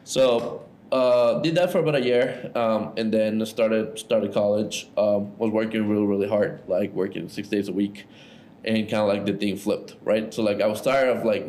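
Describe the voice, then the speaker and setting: Man, 30s, lowish voice, easygoing and chatty, faint noise behind. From Ecuador. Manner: relaxed, loose, lots of "like" and "right?". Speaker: podcast guest, entrepreneur. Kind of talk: casual storytelling interview.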